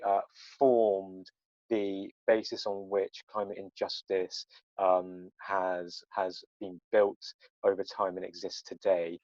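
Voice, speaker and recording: A man's voice: fairly low pitch, darkish tone, no echo, muffled recording. A man moderating a panel discussion, putting questions with careful, hesitant phrasing. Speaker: panel host.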